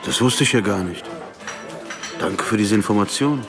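An actor playing a quietly menacing crime boss in a radio drama, unhurried and ironic. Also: male voice, lowish voice, natural voice. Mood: icy, sarcastic. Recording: music clearly behind the dialogue.

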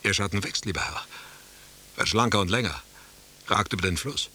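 An actor playing a sly, deferential companion in a radio play, needling with formal courtesy and poetic phrases in a raspy voice. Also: male voice, lowish voice, normal speed, pushed and bright, full character voice. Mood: poetic, ominous. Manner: formal, courteous, taunting, declamatory.

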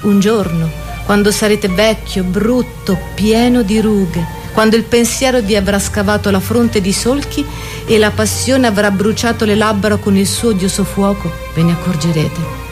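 A woman's voice: mid-range voice, normal speed, natural voice. A woman playing a worldly aesthete who urges youth and pleasure, in a declamatory, persuasive literary reading. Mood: seductive, ominous. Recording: loud music behind the voice.

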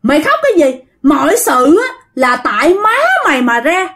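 A woman's voice: extremely high pitch, expressive, normal speed, a bit bright, pitched above the performer's own voice. A woman voicing a cruel, demanding spirit that possesses a man, threatening crudely and repeating itself in a Southern Vietnamese accent. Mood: menacing.

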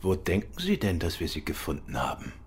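A man acting a courteous, probing, self-assured gentleman detective in a German radio crime drama, questioning politely and with measured care. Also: deep voice, huge pitch swings, breathy edge, exaggerated voice.

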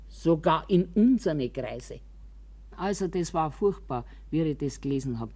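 Slow, grumbling old Bavarian woman. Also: low voice, a little mellow. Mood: anxious, gloomy. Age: older. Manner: grumbling, emphatic, deliberate. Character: embittered, quarrelsome, anxious old woman in a care home. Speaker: actress in a radio monologue. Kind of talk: dramatic radio monologue in colloquial dialect.

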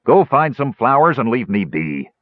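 A man's voice: mid-range voice, sing-song, moderate pace, no echo, narrow, tinny audio, pitched above the performer's own voice. A man voicing a big, grief-stricken male warrior who speaks bluntly and heavily. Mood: stubborn.

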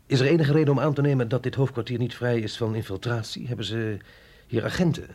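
Sceptische agent met schorre stem. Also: male voice, medium pitch, fairly even intonation, fast, darkish tone, husky. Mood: wary. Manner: hesitant, questioning, wry. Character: sceptical, wry male agent, an outsider out of his depth. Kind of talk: radio drama acting.

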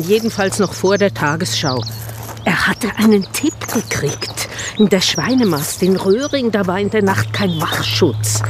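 A woman's voice: mid-range voice, natural voice. Emphatic woman playing defensive activist, noisy surroundings. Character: defensive, earnest female animal-rights activist. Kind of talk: naturalistic radio drama acting.

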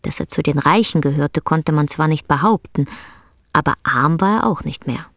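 A woman narrating a literary radio drama as a sober, measured storyteller. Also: low-pitched, a bit bright, dry recording, studio quality. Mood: intense.

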